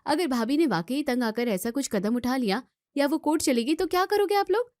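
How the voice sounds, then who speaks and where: A woman's voice: high voice, lively intonation, fairly quick, a little mellow, no room sound, clean studio sound. A woman playing an outspoken young student in a family drama, scolding and arguing with emphatic questions. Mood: firm, warning. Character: outspoken young student daughter defending her sister-in-law. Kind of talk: Hindi family drama voice acting.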